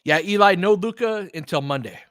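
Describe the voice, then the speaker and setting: A man's voice: sits high, fairly quick, fairly bright tone, smooth, no echo, clean studio sound. A man calling live basketball play-by-play in short, clipped phrases, with casual fan-style asides. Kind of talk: live audio play-by-play commentary.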